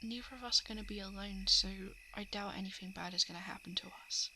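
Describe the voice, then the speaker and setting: A woman playing a shapeshifting monster who poses as a lovelorn crush in an ASMR roleplay, speaking softly and hesitantly, often slipping into whispers. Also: mid-range voice, steady tone, quick pace, slight background noise, poor audio. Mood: tender coaxing. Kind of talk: ASMR roleplay, intimate confession. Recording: night insect and frog ambience. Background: crickets, frogs and insects.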